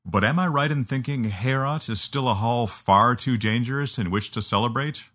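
A man voicing a huge, brash and genial warrior with a warm rumbling voice in an audiobook, courteous but needling. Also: normal speed, lightly acted. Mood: confident, placating. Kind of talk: audiobook character dialogue.

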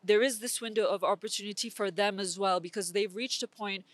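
A woman's voice: mid-range voice, steady tone, fairly quick, no room sound. Intense woman from Switzerland, urgent. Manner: intense, urgent, with frequent restarts. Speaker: interview guest. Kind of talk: earnest political commentary. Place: conference venue.

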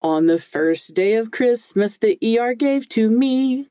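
A woman with a low-set voice talks as a podcast co-host, recorded close and dry. Her delivery is informal and chatty, with a joking, mildly sweary streak. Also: animated, measured pace, warm tone, clear, resonant tone, dry recording. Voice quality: deep, low-range voice for a woman. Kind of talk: casual, chatty podcast talk.

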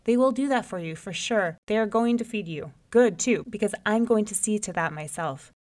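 A woman voicing a cocky but easily frightened male rabbit in a folktale reading, boasting loudly and stammering when scared. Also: mid-range voice, lively intonation, moderate pace, lightly acted. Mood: boastful.

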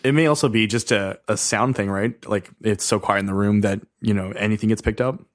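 A man's voice: on the low side, fairly even intonation, dry recording, clean studio sound. A man co-hosting a casual tech podcast, joking and wry as he talks through the news. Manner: wry, joking, quick asides. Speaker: podcast co-host.

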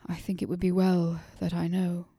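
A woman voicing a gentle, earnest young man who speaks in formal, old-fashioned sentences. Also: on the low side, completely flat delivery, moderate pace, husky, dry recording, clean studio sound.

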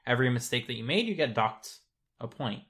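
Relaxed speaker. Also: medium pitch, a little fast. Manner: relaxed, reflective, frequent ums and uhs. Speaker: programmer. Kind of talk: casual conversation.

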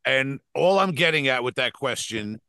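A man's voice: medium pitch, animated, moderate pace, bright, forceful tone, clean tone, no room sound. A man in his forties hosting a casual interview, asking rambling questions with many restarts. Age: 40s. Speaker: podcast host.

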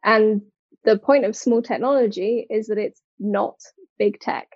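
A British woman with a mellow, relaxed voice at medium pitch, giving articulate explanations as an interview guest. The sound is very dry, with little room around it. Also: unhurried, dry recording, lo-fi sound. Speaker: interview guest, technology designer and advocate.

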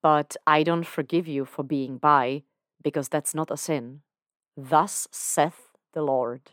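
A woman voicing a suave male devil who has become God, speaking in a dry, unhurried, formal way. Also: sits low, fairly even intonation, no echo.

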